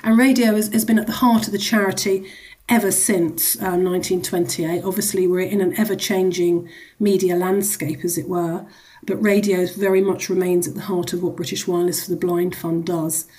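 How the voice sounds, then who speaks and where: A woman's voice: on the low side, steady tone, moderate pace, mellow. Woman explaining things as an interview guest. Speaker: guest, charity executive. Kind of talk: radio interview, explaining charity work.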